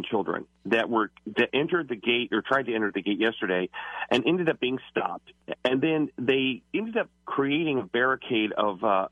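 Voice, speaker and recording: A man giving a live unscripted news report to the studio, halting and rephrasing as he explains. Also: medium pitch, no echo. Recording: phone-like narrow radio line, dry.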